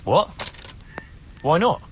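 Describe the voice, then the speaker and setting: A man's voice: extremely slow, slight background noise. An actor playing an eager, loyal young fighting man in a video game cutscene, with an earnest, questioning manner. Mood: surprised.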